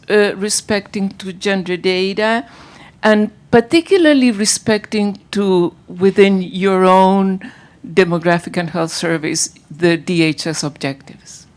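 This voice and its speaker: A woman moderating a panel discussion, introducing the speakers and asking questions with frequent restarts. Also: lowish voice. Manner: hesitant, repeats words while introducing.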